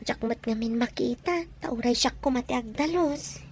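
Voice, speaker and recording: A woman's voice: fairly high pitch, normal speed, lightly acted. A woman with an Ilocano accent playing a cheerful, hardworking masseuse in an audio drama, easygoing and modest. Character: cheerful, hardworking young masseuse saving her earnings.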